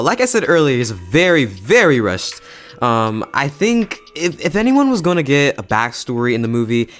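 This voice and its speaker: A young man hosting a casual video review in an enthusiastic, slangy, emphatic way. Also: mid-range voice. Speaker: YouTube host and film reviewer.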